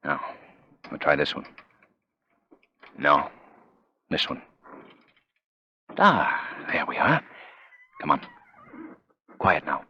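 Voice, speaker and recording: An actor playing a confident, suave amateur sleuth in a 1930s radio mystery, with brisk, clipped delivery. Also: male voice, rumbling low, big pitch swings, slightly rough, full character voice. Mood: hushed, wry. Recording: muffled, noisy 1930s radio recording.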